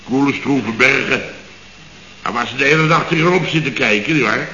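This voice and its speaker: An actor playing a pompous, boastful building-firm boss in a 1960s radio comedy, rambling and self-satisfied. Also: male voice, mid-range voice, normal speed, pushed and bright, a little hiss or hum, close to an everyday voice. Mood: rambling musing. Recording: dull, echoey old radio studio recording.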